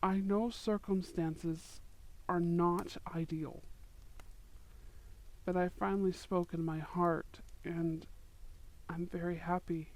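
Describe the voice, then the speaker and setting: A man voicing a young male wizard courting his sweetheart in an ASMR roleplay, soft-spoken and affectionate. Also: high-pitched, steady tone, takes it slowly, rough recording. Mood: tender. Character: young male wizard, tender romantic suitor.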